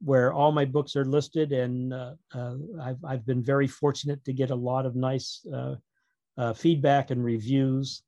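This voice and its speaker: A man speaking casually as an interview guest, in a halting, digressive way with wry humor. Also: mid-range voice, level tone, mellow, dry recording. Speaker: guest author and producer.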